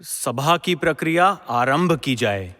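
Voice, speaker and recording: A dignified, benevolent monarch of mythological audio drama speaks with regal care. The pace is slow and measured, in long hall reverb. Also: male voice, mid-range voice, animated, fairly bright tone. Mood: commanding.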